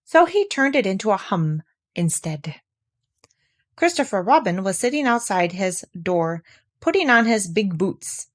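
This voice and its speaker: Gentle woman reading a storybook. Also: mid-range voice, very animated, unhurried. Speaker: audiobook reader. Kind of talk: storybook narration.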